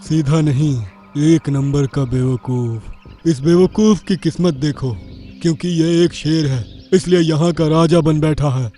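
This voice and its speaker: Man voicing a sly high-pitched bully wolf. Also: unhurried, warm tone, soft background music, natural voice. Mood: sly contempt. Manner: swaggering, mocking, chuckling slyly. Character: sly arrogant bullying male wolf, smug villain. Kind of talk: Hindi children's cartoon voice acting.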